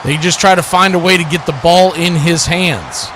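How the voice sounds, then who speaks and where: High-pitched man calling football, noisy background. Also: moderate pace. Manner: clipped running description, rising to excited calls on action. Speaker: play-by-play sports commentator, watch party host. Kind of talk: live American football play-by-play commentary.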